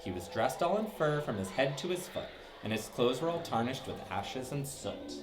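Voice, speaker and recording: A man's voice: mid-range voice, normal speed. Guy reading a bedtime story to kids, voice bouncing all over, lots of background hiss. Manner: lively, sing-song, with dramatic swoops. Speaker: storybook reader for children. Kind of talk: reading a rhyming story aloud.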